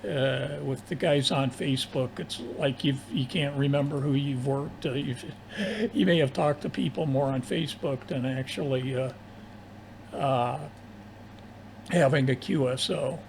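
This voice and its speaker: Man, creaky voice, amateur radio operator, casual halting delivery, home station, faint ambient noise. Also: mid-range voice, rough recording.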